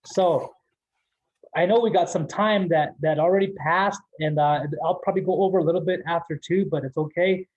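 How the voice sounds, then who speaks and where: A man's voice: on the high side, fairly even intonation, moderate pace, a little mellow, highs cut off. A man from the Navajo Nation in New Mexico, giving a casual motivational talk as guest speaker, joking and laughing often.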